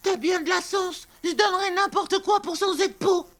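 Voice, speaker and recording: A woman voicing a misunderstood, wistful female moth in an animated cartoon, earnest and plaintive. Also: extremely high pitch, moderate pace, background noise.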